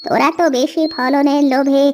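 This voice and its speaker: A woman playing a gentle, wise village mother in a children's cartoon, soothing and admonishing. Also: high-pitched, steady tone, normal speed, natural voice. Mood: explaining patiently. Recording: faint noise with bird chirps.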